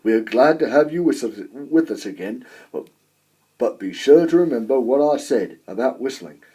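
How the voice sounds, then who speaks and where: A man's voice: mid-range voice, animated, normal speed, warm tone, slightly rough. A man voicing a grumpy, self-important male steam engine in a children's story, indignant and scolding. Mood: condescending.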